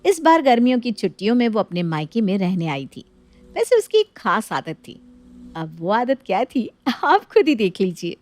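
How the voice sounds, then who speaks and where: A woman's voice: medium pitch, very animated, moderate pace, darkish tone, dry recording. A woman narrator reading a scripted family story to listeners.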